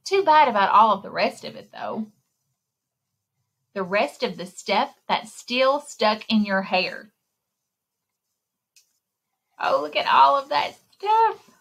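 A woman with a fairly high, slightly husky voice reads a children's picture book aloud. Her delivery is very animated and sing-song, full of exclamations. She talks straight to young listeners like a story time librarian. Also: slow, pauses often. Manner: expressive, exclaiming, addressing young listeners directly. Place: indoor room, recorded screen share with webcam.

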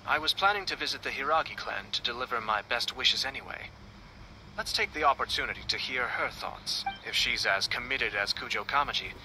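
An actor playing a composed, courteous young nobleman in a video game, speaking in a formal, measured way. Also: medium pitch, bright, forceful tone, a little hiss or hum, poor audio.

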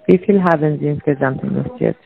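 A woman's voice: sits low, fairly quick, vocal fry. Scam caller woman on tinny phone line. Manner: broken German, repeats scripted lines.

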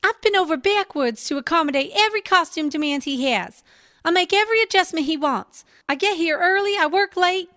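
An actress playing a young, eager would-be starlet with a plaintive, gushing manner. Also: female voice, very high voice, expressive, a little fast, bright, forceful tone, dry recording, clean studio sound, exaggerated voice. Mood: whining.

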